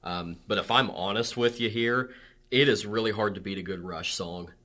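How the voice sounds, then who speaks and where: Man, deep bright voice, relaxed guest chatting with emphasis. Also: normal speed. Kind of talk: casual chat.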